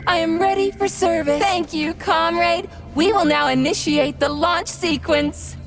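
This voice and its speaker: An obedient female robot in a game cutscene, her voice very high with a gravelly edge. She reports each step in a flat, mechanical way. Music plays loudly behind her. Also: a little slow. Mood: dutiful. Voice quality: flat machine-like delivery.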